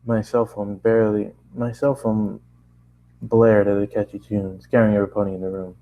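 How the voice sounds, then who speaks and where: A man's voice: fairly low pitch, flat delivery, moderate pace, narrow, tinny audio. A man narrating first-person fan fiction in a conversational way, stumbling and re-reading lines as he goes. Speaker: amateur story narrator.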